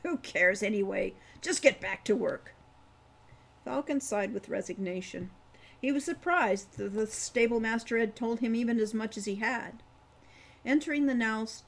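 Woman in her sixties, slightly husky, medium pitch, story narration, measured careful reading. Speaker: author reading her novel. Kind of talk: audiobook-style story narration. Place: room at home.

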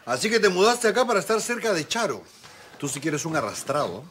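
Pompous Peruvian freeloader, animated pitch. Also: male voice, fast talker. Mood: boastful, dismissive. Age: 40s. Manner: pompous, dismissive. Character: broke, proud middle-aged man sponging off friends. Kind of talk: telenovela comedy acting. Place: indoor TV set rooms. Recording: background music under dialogue.

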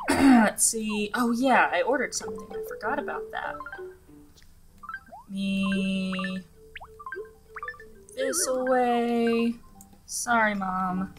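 A young woman narrates her gameplay in a loose, chatty style, laughing often and circling back with ums and restarts. Her voice is slightly scratchy, as if she has just woken up. Bright, clear game music plays underneath her the whole time. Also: on the high side, wildly swooping pitch, extremely slow, long pauses. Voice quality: chatty, giggly, many fillers and restarts. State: scratchy morning voice. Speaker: gaming channel host. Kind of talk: casual let's-play commentary.

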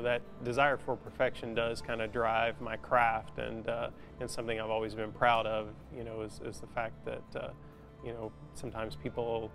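Gravelly, even-toned man in thoughtful interview. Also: mid-range voice. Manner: halting, thoughtful, filler-heavy casual speech with many "you know"s. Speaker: metal sculptor being interviewed. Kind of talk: reflective documentary interview. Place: large indoor metal workshop. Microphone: lapel mic. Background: instrumental music.

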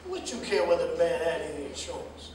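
An actor playing a gruff but steady, grieving cab driver in a staged play reading, curt and blunt. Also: male voice, a little high, quick pace, close to an everyday voice. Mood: irritated. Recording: echoey room, distant mic, clear noise.

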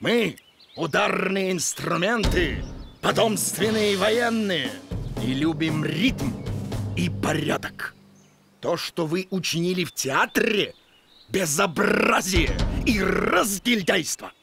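An actor voicing a strict, blustering drum commander in a children's cartoon, with a barking martial manner. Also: male voice, high-pitched, expressive, slow pace, creaky, over music, heavily acted. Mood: stern, proud. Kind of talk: children's cartoon character acting.

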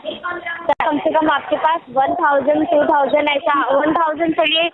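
A woman's voice: high-pitched, steady tone, measured pace. A woman working as a loan recovery agent, speaking insistently in a phone conversation. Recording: phone line with busy voices behind.

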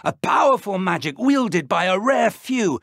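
Elderly British wizard mentor, gravelly, very varied intonation, scholarly, formal, earnest, video game dialogue. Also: male voice, high-pitched, sing-song, fairly bright tone, no echo, clean studio sound, full character voice. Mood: projected, instructive. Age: older. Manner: measured, formal, thoughtful. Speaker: professor and mentor.

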